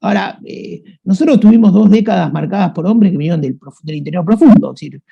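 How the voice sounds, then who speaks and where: A man with a Rioplatense accent talks in a loose, rambling way, full of restarts and fillers. His voice is heavily gravelly and husky. The sound is dull and lo-fi. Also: sits high, fast. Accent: Rioplatense Spanish. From Buenos Aires, Argentina. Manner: rambling, many restarts and fillers, frequent "¿viste?". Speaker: guest writer. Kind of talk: casual conversational interview.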